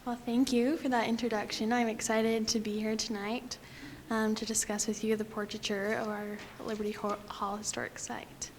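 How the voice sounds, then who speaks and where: A young woman from Utah giving a prepared lecture at a podium, talking quietly with frequent ums. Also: a little high, level tone, normal speed, slight background noise. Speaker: guest lecturer.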